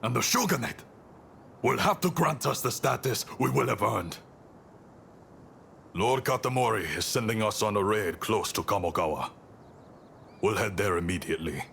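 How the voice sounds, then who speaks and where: A man playing a stern, resolute samurai commander in a video game cutscene, speaking in a measured, commanding way. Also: lowish voice, a bit bright. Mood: earnest, determined. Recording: clear background noise, ambience.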